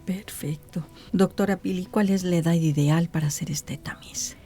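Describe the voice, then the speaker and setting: A woman's voice: fairly low pitch, fairly even intonation, moderate pace, dark tone, faint music behind. A woman interviewer with a Mexican Spanish accent who asks the guest questions in a courteous, formal way. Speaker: interview host.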